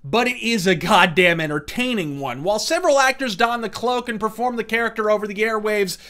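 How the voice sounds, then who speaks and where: Guy doing a scripted review narration, high pitched n bright, wordy, sweary. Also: brisk. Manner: florid wordy delivery, profane. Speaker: reviewer and host. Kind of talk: scripted video essay narration.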